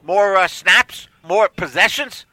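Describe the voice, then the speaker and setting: Older guy in his 60s doing sports banter, high shrill voice, real sharp, keeps repeating stuff for emphasis. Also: slow, no room sound. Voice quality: shrill, distinctive voice. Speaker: sports talk show panelist.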